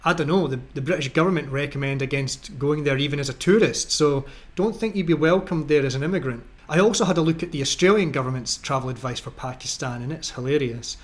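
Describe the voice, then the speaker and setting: A man's voice: on the high side, quick pace. A man with a Scottish accent delivering sarcastic, indignant political commentary as a channel host, in a mocking, ranting voice-over.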